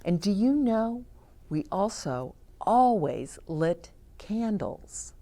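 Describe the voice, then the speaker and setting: An older woman reading aloud to children and adding chatty asides, gently explaining things to her young listeners. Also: mid-range voice, big pitch swings, slow.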